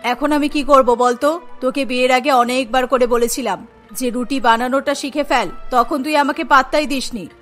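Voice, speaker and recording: A woman's voice: high voice, normal speed, fairly bright tone, pitched below the performer's own voice. A woman voicing a stern middle-aged mother scolding her daughter in a cartoon. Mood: exasperated scolding. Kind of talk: cartoon story dialogue. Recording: music behind the voice.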